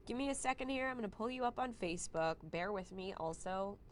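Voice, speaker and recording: A young adult woman meteorologist giving a friendly, conversational live weather forecast while fighting a cold, now and then clearing her throat. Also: mid-range voice, animated, a little fast, a little hiss or hum. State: has a cold, slightly hoarse.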